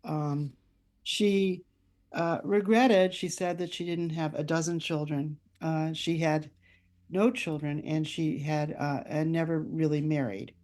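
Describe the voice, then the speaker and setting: Female interview guest, author. Low-pitched, mellow voice, halting reflective storytelling. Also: steady tone.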